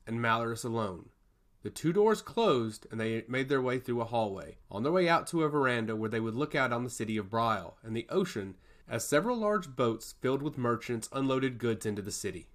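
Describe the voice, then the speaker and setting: A male storyteller reads scripted fantasy fiction. His voice sits at an average pitch for a man. The intonation is lively and varied. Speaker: storyteller, narrator.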